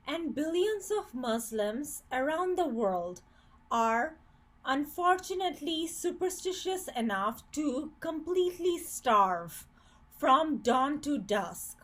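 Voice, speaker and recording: A woman from Bangladesh giving an earnest, critical opinion commentary, with occasional stumbles and restarts. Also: high voice.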